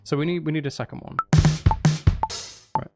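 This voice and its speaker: A man's voice: fairly high pitch, steady tone, normal speed, over loud music. A man chatting casually on a live stream, thinking aloud as he works through a task, repeating phrases and questioning himself. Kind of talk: casual live stream chat while editing music.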